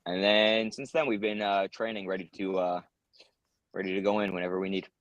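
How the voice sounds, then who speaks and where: Young American guy, low and quick, earnest interviewee full of fillers. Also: level tone, a bit bright, no echo, highs cut off. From United States. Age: young adult. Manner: earnest, rambling, many ums and uhs. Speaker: interviewee, soldier. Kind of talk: casual interview answers.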